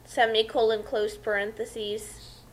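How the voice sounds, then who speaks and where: A woman's voice: fairly high pitch, flat delivery, slow, rough recording. A woman co-hosting a casual comic commentary in a sarcastic way. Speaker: co-host giving commentary.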